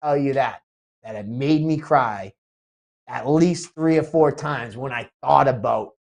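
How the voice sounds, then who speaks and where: A man, an MMA promoter, chatting casually on a podcast, sniffly from a cold and talking in an excitable, rambling way with lots of restarts. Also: medium pitch, a little slow, vocal fry. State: sniffly, getting over a cold.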